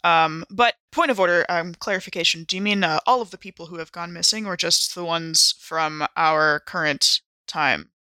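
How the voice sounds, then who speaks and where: A woman's voice: lowish voice, normal speed, hardly any pauses, pushed and bright, no echo. A woman talking casually and in character as a player in a tabletop roleplaying game.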